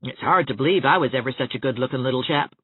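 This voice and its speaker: A woman's voice: a bass voice, fast talker, breathy edge, no echo, narrow, tinny audio. A woman voicing a cheerful, teasing, self-mocking young man in a storybook reading. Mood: wry, chatty.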